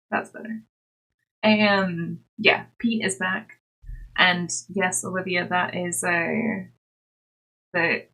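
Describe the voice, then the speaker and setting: A woman's voice: lowish voice, vocal fry, bright, forceful tone, slightly rough, low-quality recording. A woman hosting a livestream, chatting to viewers in an informal, giggly way. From Scotland-born, grew up overseas and in the United States. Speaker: livestream host and crafter. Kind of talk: casual chat with livestream viewers.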